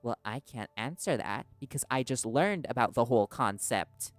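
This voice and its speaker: A man's voice: mid-range voice, expressive, normal speed, no room sound, soft background music, natural voice. A man playing a stubborn, guarded reporter held captive in an audio roleplay, arguing back in loyal defiance. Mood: frustrated, dismissive. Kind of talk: dramatic audio roleplay acting.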